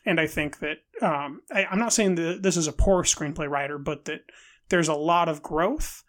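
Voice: mid-range voice, moderate pace, a bit bright. A film podcast co-host in an analytical discussion, building wordy arguments with hesitant, repeated words.

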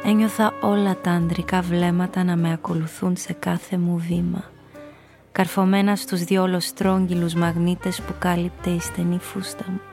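An actress playing a cynical, seductive femme fatale, confessing her story in an intimate, breathy way in a noir radio play. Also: fairly low pitch, level tone, a little mellow, faint music behind, lightly acted. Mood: sultry, self-assured. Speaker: narrator and lead character.

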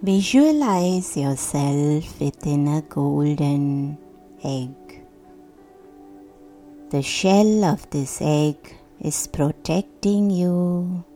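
Very slow, soft dark-voiced woman guiding meditation. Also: low-pitched, lively intonation. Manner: soothing, hushed, deliberate with long pauses. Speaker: meditation guide. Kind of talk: guided sleep meditation, scripted narration. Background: soft meditation music.

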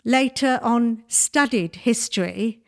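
A woman's voice: fairly high pitch, takes it slowly, creaky. A woman in her sixties who grew up in Nigeria and speaks Bengali as her first language, telling childhood stories as a guest and laughing often. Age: 60s. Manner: lively storyteller, laughs often. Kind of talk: casual interview chat.

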